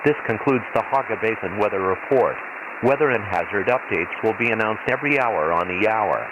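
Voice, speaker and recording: Casting: man performing formal, impersonal male sci-fi weather-radio announcer, lowish voice, measured bulletin delivery, radio filter with clear static hiss. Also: expressive, muffled recording. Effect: radio transmission filter. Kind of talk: scripted emergency weather bulletin, read like automated weather radio. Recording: hissy, noisy shortwave-style radio transmission.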